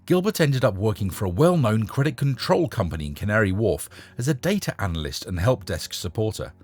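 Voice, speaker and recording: A man with a husky voice narrating a short story in a measured, expressive storytelling manner. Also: lowish voice, faint music behind. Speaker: narrator and host reading short stories. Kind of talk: scripted story narration with a short casual introduction.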